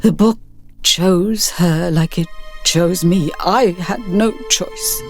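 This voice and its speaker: Woman acting a frail, haunted old man, music behind. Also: fairly low pitch. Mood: sobbing, pleading. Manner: halting, anguished, drawn-out delivery.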